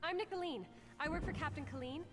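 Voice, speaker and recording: A woman's voice: high-pitched, fast, faint music behind, natural voice. A woman voicing an eager, businesslike young heist crew member in a video game. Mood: brisk. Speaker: game character.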